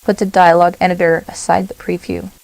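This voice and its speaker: A woman presents a software tutorial in short, simple steps. Her voice sits on the low side with a noticeable creak. It is recorded dry and close, over soft background music. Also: little pitch movement, fairly quick, dry recording. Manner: short, simple instructional phrases. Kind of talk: step-by-step software tutorial narration.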